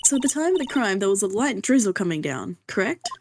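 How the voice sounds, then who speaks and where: A woman's voice: medium pitch, big pitch swings, fast, slight background noise, natural voice. A woman voicing a determined, assertive young female rookie defense lawyer in a fan dub of a courtroom game. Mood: probing. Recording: music behind the voice.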